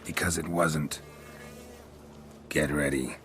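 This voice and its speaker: A man playing a stoic, laconic monster hunter in a video game, with a low gruff voice and a terse, deadpan delivery. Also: slow pace, heavily acted. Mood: dry, guarded. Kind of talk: video game cutscene acting.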